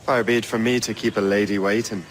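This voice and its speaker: A man's voice: fairly low pitch, steady tone, quick pace, a little hiss or hum, lightly acted. Man acting a cocky young sailor. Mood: cheeky. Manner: breezy, cheeky. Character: cocky, easygoing young male sailor-assassin. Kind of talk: video game cutscene acting.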